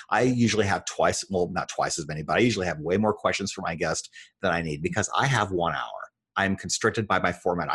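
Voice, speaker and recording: American male interview guest, very low register, slightly rough voice, earnest and emphatic delivery. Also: a bit bright. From Iowa, United States. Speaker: podcast guest, internet radio show host. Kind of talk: conversational interview storytelling.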